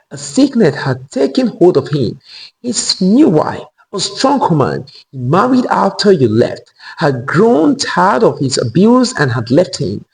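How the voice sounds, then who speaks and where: Male storyteller for scripted folktale, high voice with heavy creak, animated pitch movement. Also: rough recording. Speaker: storyteller narrator.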